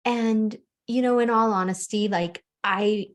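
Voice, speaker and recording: A woman's voice: medium pitch, steady tone, unhurried. A young adult woman from the Bahamas, a spiritual coach, speaking openly and earnestly in an interview, with frequent "like" fillers. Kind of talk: candid interview conversation.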